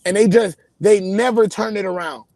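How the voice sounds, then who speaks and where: A man with a high voice hosts a live chat, pressing his guest with measured, probing questions. He is calling in from his car, and his voice sounds dry and close. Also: darkish tone, dry recording. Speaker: host. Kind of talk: casual live-stream interview.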